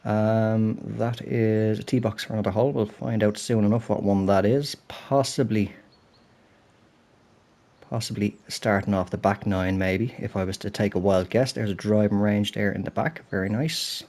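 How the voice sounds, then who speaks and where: A man's voice: on the low side, steady tone, normal speed, darkish tone. Chatty British man, slightly stuffed up. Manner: chatty, apologetic, thinking aloud while playing. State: slightly throaty and stuffed up from a cold. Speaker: gaming channel host. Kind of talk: relaxed golf game commentary.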